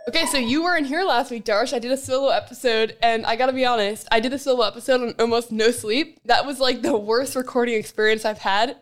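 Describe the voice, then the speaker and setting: A woman's voice: on the high side, fast talker, hardly any pauses. A young woman co-hosting a casual tech podcast, talking in a conversational, reflective way. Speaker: podcast co-host.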